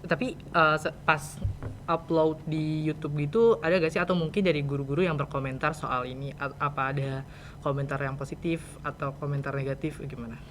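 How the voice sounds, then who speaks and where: A man's voice: a little high, little pitch movement, fairly quick, fairly bright tone, slight background noise. A teenage male student with a Jakarta Indonesian accent, hosting a casual podcast interview in a chatty, colloquial way.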